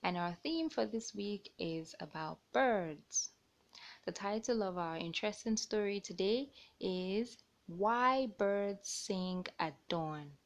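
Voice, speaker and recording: A woman hosting a children's storybook read-aloud, explaining things simply and deliberately for young listeners. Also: medium pitch.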